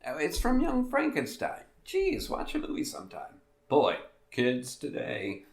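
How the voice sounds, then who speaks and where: Man acting as a quirky, lively, echoey show host. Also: on the high side, a little slow, heavily acted. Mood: teasing. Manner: folksy, wisecracking, theatrical. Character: quirky, jokey male host of a weird-tales show. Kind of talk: scripted podcast drama in a radio-show format. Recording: roomy, echoing voice recording.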